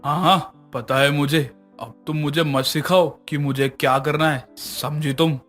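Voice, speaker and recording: A middle-aged husband, acted by a man in a narrated moral story, brusque and weighed down by guilt. His voice sits a little high for a man, with music underneath. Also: steady tone, unhurried, a bit bright. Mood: curt, irritated.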